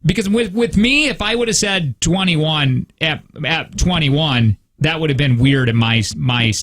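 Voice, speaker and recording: A man's voice: fairly high pitch, a little slow, a bit bright. A man in his thirties hosting a morning radio show, chatting casually and laughing often. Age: 30s. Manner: chatty, reads listener texts aloud, laughs often. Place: radio studio.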